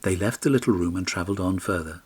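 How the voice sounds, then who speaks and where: Male audiobook narrator, fairly low and slightly rough, telling a fairy tale with expression. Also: fast talker.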